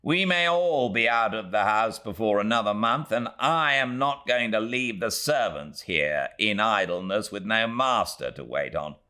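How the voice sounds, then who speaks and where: A man's voice: lowish voice, lively intonation, unhurried, hardly any pauses, bright, forceful tone. A man voicing a curt, tight-fisted and impatient Victorian baronet with a British accent, in an audiobook. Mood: brusque. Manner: brusque, commanding. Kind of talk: audiobook character voice.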